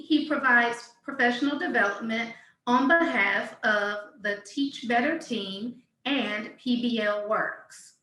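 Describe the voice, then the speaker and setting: A woman with a bright, clear, fairly high voice hosts an interview show. She reads the guest introduction and asks questions with enthusiastic emphasis. The voice rings in an echoey room. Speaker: podcast host.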